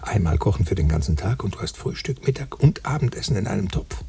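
A man's voice: on the low side, lively intonation, quick pace, gravelly, dark tone, breathy edge, far from the mic, exaggerated voice. An actor playing a wry inner voice in a radio drama, marvelling and prompting as he savours his words. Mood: enthusiastic. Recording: hissy radio recording.

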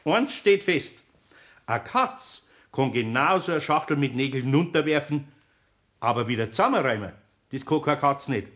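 A man's voice: fairly high pitch, animated, normal speed, fairly bright tone. A man playing an older, good-natured master carpenter in a German children's radio play, speaking with a Bavarian accent and teasing in a dry, unruffled way. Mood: wry, challenging.

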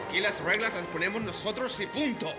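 A man's voice: high-pitched, brisk, natural voice. A man acting a threatening, commanding pirate captain in a radio play, with declamatory delivery. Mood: menacing, commanding. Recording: music under the voice.

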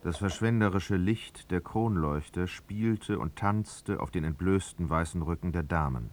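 A narrator of a literary radio drama speaks in a low register. He tells a satirical society novel in composed prose. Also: unhurried, noisy background. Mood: measured narration.

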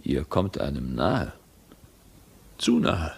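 An actor playing a proud, ambitious lord of a castle, imperious and agitated, with theatrical delivery in a radio drama. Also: male voice, extremely low pitch, wildly swooping pitch, takes it slowly, gravelly, husky, exaggerated voice. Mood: guarded, tense. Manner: imperious, theatrical, swelling from hushed to commanding.

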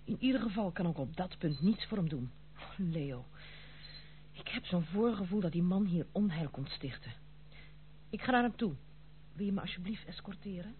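Female actor as stern, protective noblewoman in radio drama: formal, unyielding, lowish voice, thin band-limited sound with hum. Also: expressive, normal speed, pauses often, lightly acted. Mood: troubled. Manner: formal, firm, reproachful. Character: strong-willed, stern young noblewoman protecting her household. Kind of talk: radio play acting. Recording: tinny, phone-like old radio play, slight hum.

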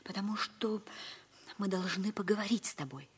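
A woman's voice: medium pitch, normal speed, slightly rough. An actress playing an earnest, tender, protective schoolgirl daughter in an old radio stage drama, with a pleading, theatrical delivery. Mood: earnest, hesitant. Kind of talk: mid-century Soviet radio stage drama. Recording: old radio play recording.

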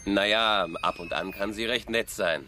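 A man's voice: fairly low pitch, normal speed, sharp tone, slight background noise. An actor playing a polite, reserved father with a stern edge in a radio drama.

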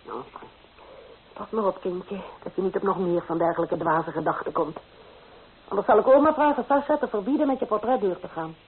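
A woman's voice: medium pitch, a little fast, a little hiss or hum, natural voice. An actress playing a stern, scornful woman who belittles and admonishes in an old radio play. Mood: scolding, threatening. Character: stern, scornful woman scolding a romantic girl. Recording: tinny, narrow-band old radio recording.

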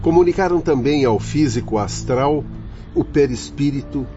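An actor playing a stern, learned spirit instructor in a radio drama, speaking formally and declaiming over music. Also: male voice, mid-range voice, expressive, normal speed, mellow, close to an everyday voice. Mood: grave, explaining. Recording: dull lo-fi audio, faint music.

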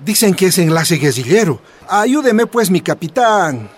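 A man's voice: high-pitched, sing-song, measured pace. A man playing a humble, worried old father in a radio drama, hesitant and deferential. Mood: humble, pleading. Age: older. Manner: hesitant, deferential, repeating words.

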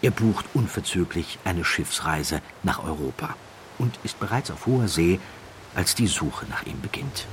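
A man narrating an audiobook story in a measured, deliberate way with theatrical emphasis, close to a studio microphone. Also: sits low, lively intonation, slight background noise. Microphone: close studio microphone, dry.

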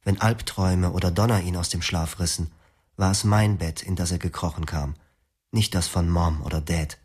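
A man narrating his memories in the first person, reflective and quiet. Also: low voice, steady tone, moderate pace, husky. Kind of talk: scripted memoir narration. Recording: music behind.

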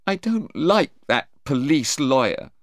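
Man voicing a distressed young woman, pitched high. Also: sing-song, drawn-out words, no echo, clean studio sound, exaggerated voice. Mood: upset, angry. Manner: hesitant, pleading. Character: distressed young woman on trial, tearful and defensive. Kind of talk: audiobook character voice.

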